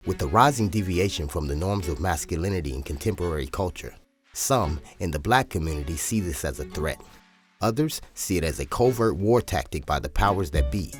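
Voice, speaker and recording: Deep-voiced man narrating a script. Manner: formal, read from a script. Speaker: host.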